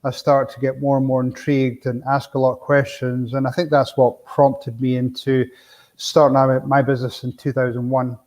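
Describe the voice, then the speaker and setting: A man's voice: mid-range voice, little pitch movement, moderate pace, darkish tone. Scottish man, plainspoken podcast guest. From Scotland. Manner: plainspoken, storytelling with frequent "you know". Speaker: business coach guest. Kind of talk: casual podcast interview.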